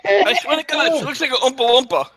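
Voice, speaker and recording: A man's voice: very high-pitched, big pitch swings, fast talker, vocal fry, over a noisy crowd. A man chatting casually and eagerly about what he is watching, with frequent fillers. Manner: eager, enthusiastic, hesitant fillers. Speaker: live chat co-host. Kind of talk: casual group chat.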